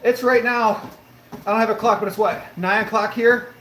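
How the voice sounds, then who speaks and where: A man's voice: high voice, fairly even intonation, normal speed, fairly bright tone. A man in his late thirties hosting a live stream from his treadmill, answering viewer comments in an upbeat, motivational way. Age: 30s. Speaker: livestream host. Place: home room with a treadmill, indoors. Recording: roomy echo, faint background noise.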